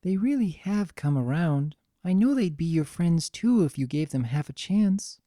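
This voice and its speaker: A gentle, earnest old female pony from a storybook, acted by a reader, who turns firm when crossed. The pitch is lifted well above the narration and the sound is dry. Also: expressive, moderate pace, no room sound, close to an everyday voice. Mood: kindly, earnest. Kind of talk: fan fiction dramatic reading.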